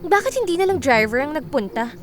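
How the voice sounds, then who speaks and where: A woman's voice: high-pitched, sing-song, brisk, over music. A woman playing an impatient, self-important young celebrity in a radio drama. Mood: annoyed.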